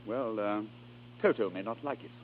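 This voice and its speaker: An actor playing a harried, exasperated husband of an opera star, flustered but emphatic, in 1930s radio drama style. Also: male voice, fairly low pitch, normal speed, natural voice. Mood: uneasy. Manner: flustered, hesitant, then emphatic. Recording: scratchy, narrow 1930s radio recording with hum.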